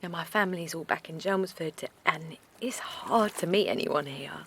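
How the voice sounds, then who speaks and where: A frazzled, exhausted young mum. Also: female voice, sits low, moderate pace, gravelly, a little hiss or hum. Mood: anxious weary. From Essex. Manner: anxious, apologetic, rambling, often half-whispered. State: worn out. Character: exhausted young mother of a colicky newborn. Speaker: new mother. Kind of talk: naturalistic audio drama acting. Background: faint outdoor seaside ambience.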